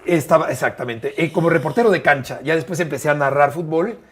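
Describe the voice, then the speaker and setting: A man in his fifties with a Mexican accent, speaking in a fairly high voice. He tells his stories expressively and with emphasis, with frequent "eh" fillers between phrases. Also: fast, fairly bright tone, slightly rough. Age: 50s. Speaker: sports commentator guest. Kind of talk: conversational interview storytelling.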